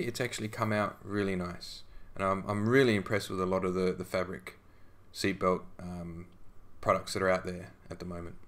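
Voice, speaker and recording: Man, lowish voice, relaxed hobby tutorial voice-over, chatty with ums. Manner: relaxed, conversational, frequent ums and restarts. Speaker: scale modelling tutor.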